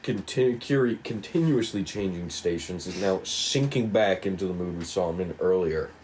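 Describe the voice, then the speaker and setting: A man's voice: sits low, moderate pace, a little mellow, slight background noise. A man reading stage directions aloud as the narrator of a table read.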